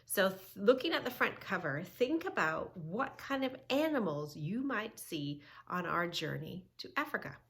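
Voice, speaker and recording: A woman hosting a children's yoga read-along, teaching in an emphatic, sing-song way with drawn-out key words and questions. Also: mid-range voice, sing-song, fairly bright tone. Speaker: children's yoga story-time host. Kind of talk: read-along lesson for young children, narrating and instructing.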